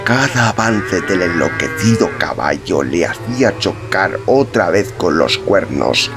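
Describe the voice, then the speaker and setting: A man's voice: low-pitched, lively intonation, a little slow, music in the background. A man narrating a literary story as an audiobook, reading from a script.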